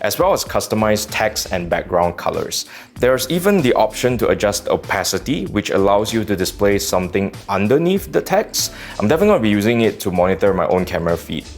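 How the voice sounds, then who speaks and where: A man with a Malaysian accent reviewing gear from a script, dropping wry joking asides. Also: on the low side. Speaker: tech reviewer.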